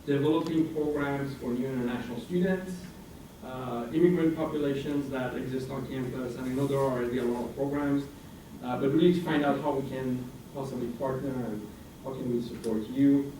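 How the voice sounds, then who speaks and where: A man's voice: medium pitch, steady tone, fairly quick, dark tone. Man in his forties, guest speaker, hesitant with many fillers, distant mic, echoing meeting room, background hiss. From Iranian American, raised in France. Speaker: guest speaker, international center director. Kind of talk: informal presentation to a student senate. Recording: roomy hall recording from afar, noisy.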